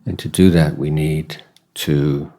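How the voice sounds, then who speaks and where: A man who teaches meditation as a Buddhist monk, speaking in a measured, deliberate way with long pauses in mid-phrase and a husky voice. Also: very deep voice, fairly even intonation, mellow. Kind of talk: guided meditation and dhamma talk.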